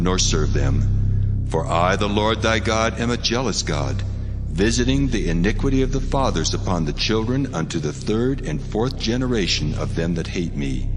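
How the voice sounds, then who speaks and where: A man voicing God with a deep, solemn, commanding delivery, over music with a long hall reverb. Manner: solemn, slow pronouncement.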